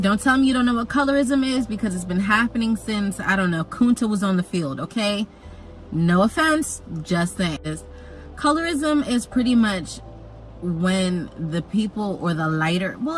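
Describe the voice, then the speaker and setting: A young woman who grew up in Jamaica, telling a personal story in a chatty, animated vlog with jokey asides. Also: medium pitch. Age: young adult. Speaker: vlogger.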